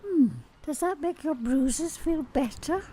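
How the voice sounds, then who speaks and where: An actress playing a slow-spoken, seductive woman in an amateur radio drama. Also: sits high, animated, warm tone, slight background noise. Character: seductive woman, alluring and soft.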